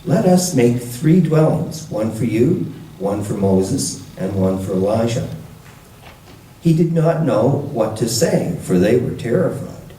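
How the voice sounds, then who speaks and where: A man reading scripture to a church congregation in a measured, deliberate way, recorded with a roomy echo from a distant mic. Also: medium pitch, expressive, dark tone. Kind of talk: scripture reading with brief introduction.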